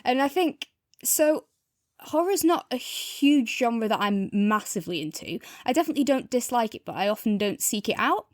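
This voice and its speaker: A woman's voice: a little high, expressive, normal speed, no echo, studio quality. A woman co-hosting a podcast, chatting casually and enthusiastically as she gives a review. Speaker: podcast co-host reviewing audio drama.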